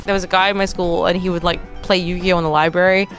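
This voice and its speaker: Rambling low-voiced woman co-host. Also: little pitch movement, quick pace, faint music behind. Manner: rambling, lots of filler, easily amused. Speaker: podcast co-host. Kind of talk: casual podcast chat.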